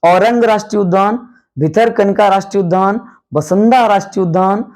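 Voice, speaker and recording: A man with a hoarse voice, a coaching teacher running a quick quiz-style revision lesson, calling his students "beta" and repeating each question and answer. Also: high-pitched, darkish tone. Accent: Hindi. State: hoarse from night classes.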